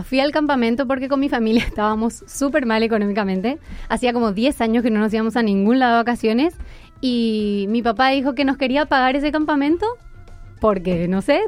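A woman's voice: fairly high pitch, smooth. A young woman with an Argentine accent in her twenties, telling her story earnestly as a guest in a radio interview. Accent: Argentine Spanish. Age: 20s. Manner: earnest, personal storytelling with fillers. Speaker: guest musician. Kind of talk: casual interview.

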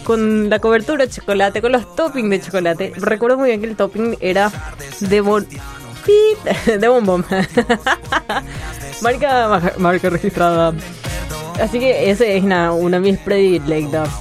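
A woman's voice: medium pitch, animated, unhurried, long pauses. Paraguayan lady on the radio, chatty and playful, laughs a lot, faint music behind. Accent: Paraguayan Spanish. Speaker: radio host. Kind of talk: casual radio chat reading listener messages.

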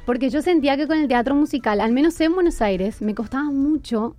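Cheerful Argentine woman, high voice. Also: brisk, mellow. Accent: Argentine Spanish. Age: 20s. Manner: earnest, personal storytelling with fillers. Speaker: guest musician. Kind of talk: casual interview.

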